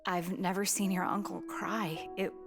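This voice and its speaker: A woman playing a caring, playful wife who gently probes, in a comic dub drama. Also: mid-range voice, level tone, measured pace, vocal fry, over music. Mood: caring, probing. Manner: gently probing, teasing.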